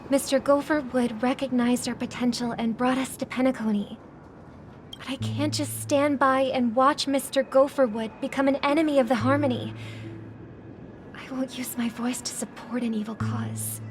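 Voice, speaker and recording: An actress plays a principled, gentle young woman in a game drama. She has a high voice and speaks with earnest, formal poise over soft music. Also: natural voice. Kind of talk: dramatic video game voice acting.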